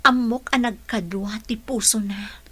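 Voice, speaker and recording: Actress as bright-voiced, earnest lovelorn bride. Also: female voice, medium pitch, fairly even intonation, a little slow, slightly rough. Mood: confiding, wistful. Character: lovelorn, determined, devoted young bride. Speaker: audio drama actor. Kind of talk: radio drama dialogue.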